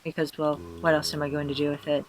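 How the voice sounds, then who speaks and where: A man with a hoarse, tired voice, self-consciously rambling through casual gameplay commentary with many fillers and restarts. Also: fairly high pitch, completely flat delivery, quick pace, a little hiss or hum. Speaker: let's player.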